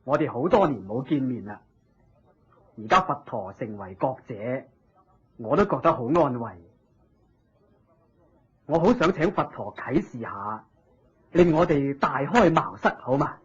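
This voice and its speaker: An actor playing a humble, eager king in a Cantonese radio drama, with a husky voice, a Hong Kong accent and deferential, expressive exclamations. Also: medium pitch, unhurried, long pauses. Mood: reverent, earnest. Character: humble, eager king seeking Buddhist teaching. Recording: dull lo-fi radio drama recording.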